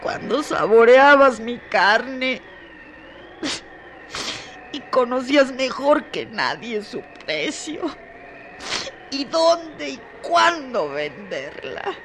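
A woman's voice: sits high, lively intonation, extremely slow, pauses often, exaggerated voice. An actress playing a bitter, pleading brothel woman in a radio drama, with a dramatic, anguished delivery. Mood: bitter, intimate. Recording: noisy radio drama with background sounds.

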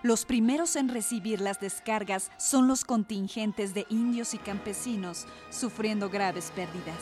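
A woman's voice: medium pitch, unhurried. A woman reading scripted historical narration for a radio drama, with prominent music underneath.

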